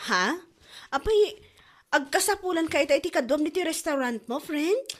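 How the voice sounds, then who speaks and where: A woman's voice: sits high, lively intonation, normal speed. A woman with an Ilocano accent playing a chatty, feisty young waitress in a comedy audio drama.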